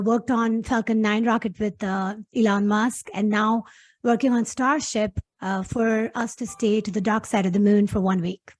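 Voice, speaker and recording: A woman's voice: medium pitch, darkish tone. A middle-aged woman from Pakistan, a guest talking earnestly in a casual interview and laughing easily.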